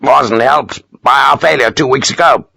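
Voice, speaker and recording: A man voicing a gruff, pious older army major who talks in curt, self-important bursts. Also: medium pitch, normal speed, bright, forceful tone, no echo, voice pushed up, full character voice. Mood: grumbling. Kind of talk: audiobook character voice.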